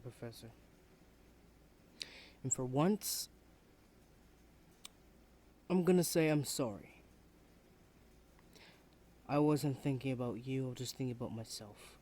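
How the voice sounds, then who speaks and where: A man acts out a young male university student, rude and defensive on the surface. He speaks at a middling pitch in a sulky, halting delivery, close and intimate as in an ASMR audio drama. Faint ambient noise sits behind him. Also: mellow, natural voice. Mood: resigned, sulky. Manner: sulky, halting, breathy sighs, swearing.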